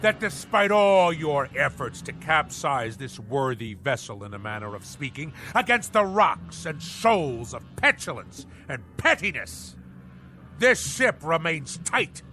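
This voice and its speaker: A grandiose, paranoid old-salt husband in a theatrical radio drama. His voice sits high and swings wildly as he declaims in slow, bombastic nautical phrases, with music in the recording. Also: very animated, sharp tone, heavily acted. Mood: haughty, indignant. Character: pompous navy-obsessed husband, manic, blustering and paranoid.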